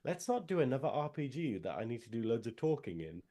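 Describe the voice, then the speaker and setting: A man's voice: medium pitch, very animated, fast talker, dark tone. A man, co-hosting a casual podcast and rambling in a jokey way with lots of fillers. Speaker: podcast co-host. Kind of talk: casual chat.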